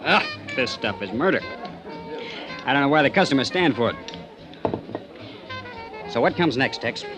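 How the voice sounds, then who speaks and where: A man's voice: mid-range voice, lively intonation, moderate pace, long pauses, close to an everyday voice, low-quality recording. An American man playing a cynical, jive-talking roadhouse owner in 1950s radio drama, brash, emphatic and full of wisecracks. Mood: cynical, needling. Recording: vintage radio recording with music behind.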